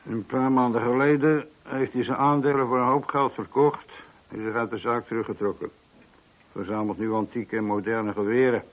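An actor playing a wry, informal police sergeant in a radio play, talking colloquially with a dry grumble. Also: male voice, medium pitch, fairly even intonation, moderate pace, lightly acted. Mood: wry, informative. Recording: dull, lo-fi old radio recording.

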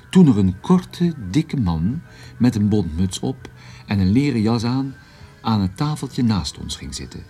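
A man's voice: fairly low pitch, warm tone, husky, full character voice. An actor playing a wry private detective who narrates his own story in a radio drama, dry and ironic. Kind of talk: first-person radio drama narration, noir.

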